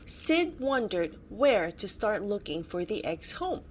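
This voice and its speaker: A woman narrating a children's bedtime story as a storybook reader, in an animated style. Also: medium pitch, slow, a little hiss or hum.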